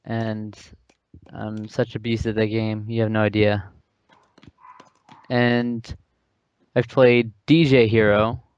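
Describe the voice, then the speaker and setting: A young man co-hosting a casual podcast chat, teasing and quick to contradict his friend. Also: on the low side, level tone, a little mellow, clear, resonant tone. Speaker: podcast co-host. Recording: dry, dull lo-fi sound.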